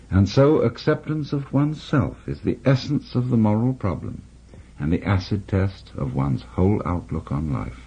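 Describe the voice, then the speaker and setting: A middle-aged man on a 1960s radio show, reading a philosophical text aloud in a measured, reflective way with long pauses. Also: lowish voice, warm tone, husky. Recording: dull lo-fi 1960s radio recording.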